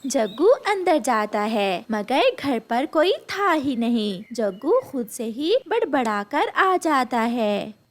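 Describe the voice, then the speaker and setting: A woman's voice: fairly high pitch, sing-song, slow. A woman narrating a scripted children's story as the storyteller.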